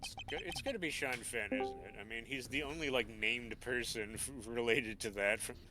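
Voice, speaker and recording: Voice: mid-range voice, moderate pace. An amateur voice actor chatting casually with friends and reading video game lines in a playful, theatrical way. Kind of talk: casual group game dub with dramatic line reads. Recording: music behind the voice.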